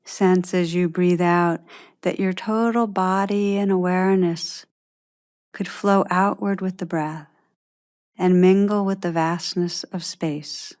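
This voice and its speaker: Female meditation guide, lower-pitched, very slow and gentle, pausing often. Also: steady tone. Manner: gentle, unhurried, long pauses.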